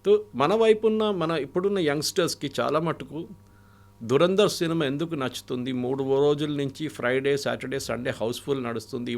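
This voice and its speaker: Gravelly Indian man giving political analysis. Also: medium pitch. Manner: mixes English phrases into Telugu. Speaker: guest commentator and analyst. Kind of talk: political analysis, anecdotal storytelling in an interview.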